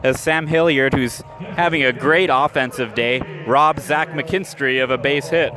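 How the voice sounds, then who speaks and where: A man calling baseball play-by-play in radio style, measured between pitches and lifting sharply on big plays. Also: music in the background. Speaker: play-by-play announcer. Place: ballpark stands, outdoors. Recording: live ballpark recording with outdoor noise.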